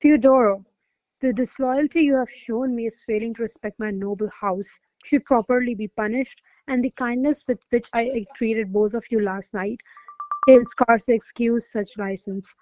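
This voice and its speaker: A woman playing a haughty, jealous noble mistress in a classical radio play, speaking in a formal, imperious, measured manner. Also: fairly high pitch, fairly even intonation, a little mellow, natural voice, rough recording. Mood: stern, imperious. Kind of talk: stage-style radio play acting of classical verse.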